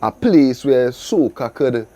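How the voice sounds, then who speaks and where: A man's voice: mid-range voice, expressive, slow pace, mellow. A Trinidadian man hosting a casual conversational interview, often chiming in with emphatic agreement. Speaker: interview host.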